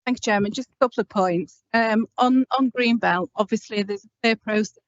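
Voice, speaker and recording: A woman planning officer with a loud voice, explaining procedure to a committee in a measured way. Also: mid-range voice, no room sound. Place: council chamber. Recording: dull dry meeting audio.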